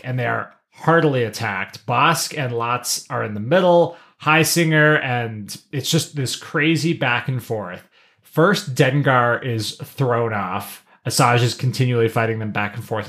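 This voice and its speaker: A man's voice: mid-range voice, unhurried, pushed and bright. A man co-hosting a podcast, retelling a story in casual, slangy language and acting out bits of paraphrased dialogue. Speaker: podcast co-host. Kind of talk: casual episode recap with acted-out paraphrased dialogue.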